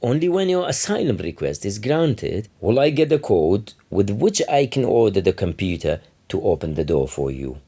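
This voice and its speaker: A man's voice: on the low side, very animated, normal speed, few pauses, warm tone, husky, exaggerated voice. A man reading aloud the part of an old, officious border guard who lectures dismissively in a literary story. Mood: stern, impatient. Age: older.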